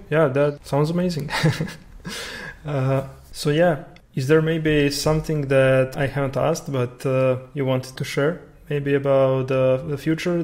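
A man hosting an interview, asking questions in a hesitant, friendly way with many pauses. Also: mid-range voice, a little mellow. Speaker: interviewer, host. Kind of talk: casual interview talk.

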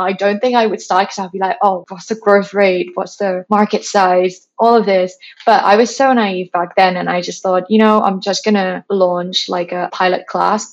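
A woman's voice: lowish voice, moderate pace. Chatty woman from Thailand, slightly muffled. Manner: chatty, frequent "like", animated retelling. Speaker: founder, interview guest. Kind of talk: casual interview conversation. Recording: slightly dull lo-fi sound.

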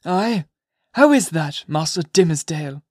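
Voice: mid-range voice, animated, a little slow, husky, no echo, studio quality, voice pushed up. A reader voicing a stern, indignant old Puritan magistrate who speaks pompously. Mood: demanding.

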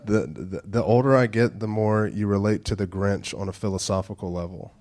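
A pastor in his thirties delivers a casual sermon in a very deep voice. His nose is stuffed up and he speaks in a low, slow, near-monotone way, mixing church announcements with dad jokes. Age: 30s. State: stuffed-up nasal congestion.

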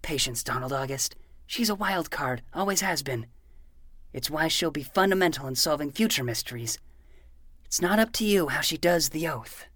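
A woman's voice: low-pitched, expressive, moderate pace, fairly bright tone, no room sound, studio quality. A woman playing an earnest, self-important twelve-year-old boy detective in a fiction podcast, speaking in a formal, theatrical way. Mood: solemn.

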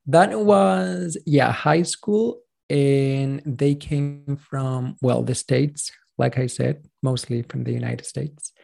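A man's voice: mid-range voice, steady tone, slow pace, vocal fry, hardly any pauses, darkish tone. A Chilean man in his thirties, a native Spanish speaker, telling his story as a pronunciation coach in a casual interview, earnest and rambling with restarts.

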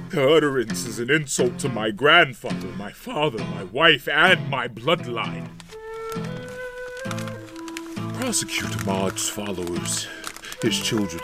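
A man's voice: on the low side, lightly acted. Man acting a wrathful imperious ruler, music behind. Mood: cold disgust. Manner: grandiose, theatrical declaiming. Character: wrathful, imperious male ruler issuing brutal decrees. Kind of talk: scripted audio drama acting.

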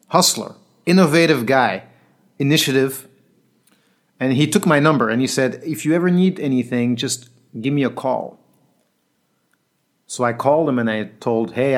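A man who works as a coach, telling a personal story in an earnest, reflective monologue that sometimes drops quiet. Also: medium pitch. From Belgium.

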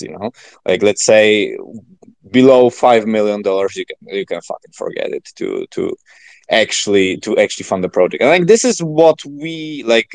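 A man talking as a podcast guest in casual, informal chat, rambling and halting with many filler words. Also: fairly low pitch, a little mellow, no room sound. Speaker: podcast guest, project founder.

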